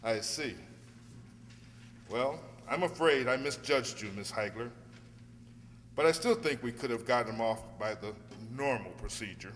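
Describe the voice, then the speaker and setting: A man's voice: mid-range voice, unhurried, long pauses, a bit bright, natural voice. A man playing a polite, earnest lawyer in an amateur radio drama, courteous and hesitant, baffled and disapproving. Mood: sober. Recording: reverberant hall, some noise and hum.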